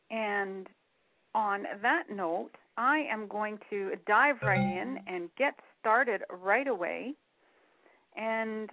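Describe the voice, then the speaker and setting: Female call host, somewhat high voice, courteous welcoming tone, phone-line sound. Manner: polite, welcoming introductions. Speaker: teleclass host. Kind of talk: hosting a call-in class.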